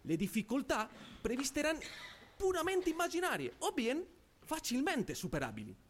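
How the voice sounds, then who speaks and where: High-pitched Italian inventor making a speech. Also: male voice, huge pitch swings, moderate pace, full character voice. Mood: formal, measured. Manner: declamatory, mixing Italian words into Spanish. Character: Italian male inventor giving a formal, confident speech. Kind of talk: formal speech in a live radio drama.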